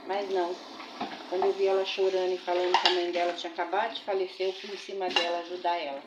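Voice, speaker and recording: A middle-aged Brazilian woman with a flu, chatting casually to her viewers from her home kitchen while washing dishes. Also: medium pitch. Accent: Brazilian Portuguese. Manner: chatty, addresses viewers as "gente", religious blessings. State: recovering from a bad flu. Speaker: vlogger. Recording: roomy, dull, noisy kitchen recording.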